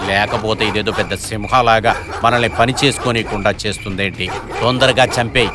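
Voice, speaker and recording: A man playing a callous, bossy gang leader who scolds and orders his henchman in an animated story. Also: fairly low pitch, fairly quick, noisy background, lightly acted. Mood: menacing, impatient. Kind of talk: animated moral story dub.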